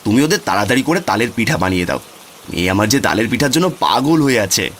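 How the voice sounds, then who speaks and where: A man's voice: on the low side, sing-song, rapid-fire, a bit bright. An actor playing a humble, grateful poor village father in a cartoon fairy tale. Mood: contented. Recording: rain noise behind.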